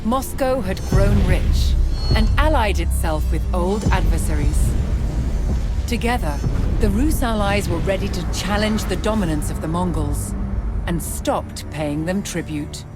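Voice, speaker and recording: A narrator delivering scripted, documentary-style storytelling for a game in a measured, dramatic manner. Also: medium pitch. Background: music.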